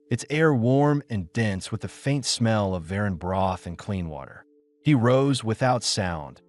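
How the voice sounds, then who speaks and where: Low-voiced man narrating with lively intonation. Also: no room sound. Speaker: audiobook narrator. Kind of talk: dramatic scripted story narration.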